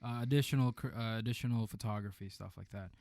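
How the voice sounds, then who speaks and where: A man's voice: fairly low pitch, fairly even intonation, fast, a little mellow, no room sound, clean studio sound. A man co-hosting a podcast, casually reviewing a movie and often rephrasing mid-sentence. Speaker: film review podcast co-host.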